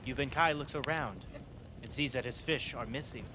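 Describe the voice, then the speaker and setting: A man gives scripted narration for an audio drama. His voice is at a medium pitch, with noticeable ambient noise behind it. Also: normal speed, pushed and bright.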